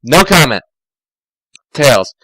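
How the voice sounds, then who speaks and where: Man reading fan fiction, lively pitch. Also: takes it slowly, dry recording, poor audio. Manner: stumbling, self-correcting. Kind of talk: amateur dramatic story reading with asides.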